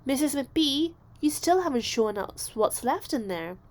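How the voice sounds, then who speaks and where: An indignant little schoolgirl from a children's storybook, acted by a woman, bossy and impatient. Her lines are huffy and emphatic, pushed higher and louder with very animated pitch. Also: measured pace.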